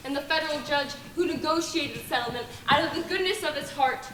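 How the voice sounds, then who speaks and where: A woman's voice: sits high, brisk, a bit bright. A woman acting a wronged young factory worker, bitter and resigned, in a stage play monologue. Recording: roomy distant stage recording, noisy, clicks.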